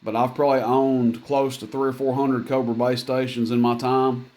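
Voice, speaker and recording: A man's voice: medium pitch, level tone, normal speed, darkish tone. A man giving a casual hands-on equipment demonstration in a plainspoken, folksy, matter-of-fact way. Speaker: CB radio seller demonstrating equipment.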